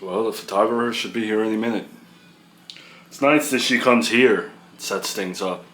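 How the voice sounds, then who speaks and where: Man acting deep-voiced gentle, devoted partner. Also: normal speed, slight background noise, close to an everyday voice, low-quality recording. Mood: pleasant. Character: gentle, reassuring, devoted male partner of new mother.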